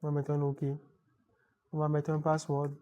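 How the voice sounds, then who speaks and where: A man with a head cold walks through a computer setup in an unscripted screencast tutorial. He stumbles and repeats words, pausing often to check in with the viewer. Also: fairly high pitch, monotone, dark tone. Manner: halting, many repeated words and restarts. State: has a cold, clearing throat. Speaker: IT tutorial presenter.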